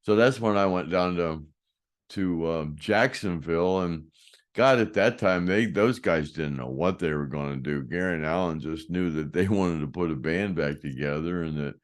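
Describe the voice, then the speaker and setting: An older man with a deep voice telling rambling stories in a casual chat, often trailing off and restarting. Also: normal speed. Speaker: host, former band roadie.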